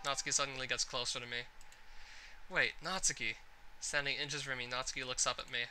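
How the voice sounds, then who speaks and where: A man's voice: mid-range voice, steady tone, brisk, music in the background, low-quality recording. A man narrating a visual novel aloud during a game playthrough. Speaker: gaming content creator.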